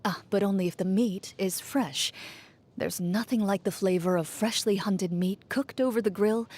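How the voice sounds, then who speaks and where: A woman playing a composed, principled champion duelist in a video game, speaking in a measured, formal, dryly understated manner. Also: mid-range voice, darkish tone, lightly acted. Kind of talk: video game character voice lines. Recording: music behind the voice. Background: music.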